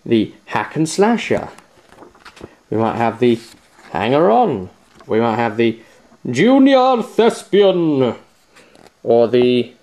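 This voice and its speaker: A man's voice: mid-range voice, a little mellow. A man hosting a casual unboxing video, chatting to viewers in an enthusiastic, emphatic way. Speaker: host of a tabletop gaming video series.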